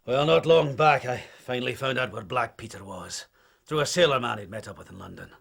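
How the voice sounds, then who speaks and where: A bitter old Scottish seaman spinning a yarn in an audio drama. His voice is full of creak and rasp, with a hard, forceful edge. He mutters slyly, then swells suddenly. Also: mid-range voice, a little fast. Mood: wry storytelling. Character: rough, thirsty old Scottish sailor, sly and bitter.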